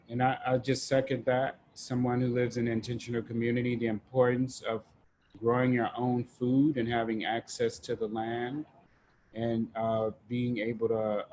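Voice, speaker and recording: Male group host, informal and halting, little pitch movement, some background noise. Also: level tone, a little mellow, low-quality recording. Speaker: discussion facilitator. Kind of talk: casual group facilitation on a video call. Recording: noticeable background noise on online call.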